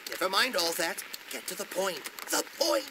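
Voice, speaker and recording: Bossy, swooping young scholar. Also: male voice, high-pitched, sing-song, moderate pace, background noise. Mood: impatient. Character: eager, bossy young male scholar nicknamed Genius. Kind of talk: video game voice acting.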